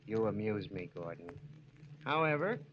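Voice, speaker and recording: An actor playing a mysterious, suspicious stranger in a radio drama, formal, knowing and coolly amused. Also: male voice, fairly low pitch, very animated, normal speed. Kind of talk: 1940s radio acting. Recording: muffled, noisy old radio recording.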